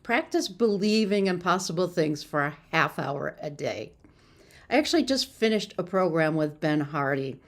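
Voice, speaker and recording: A woman's voice: on the low side, lively intonation, normal speed. A woman giving an informal, encouraging motivational talk as a success coach, sometimes stumbling over her words.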